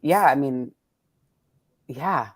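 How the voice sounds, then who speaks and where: A woman's voice: expressive, very slow, husky, dry recording, studio quality. A woman hosting a casual, reflective conversation as a poet, searching for her words and often rephrasing as she goes. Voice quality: low-pitched. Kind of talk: casual reflective interview chat.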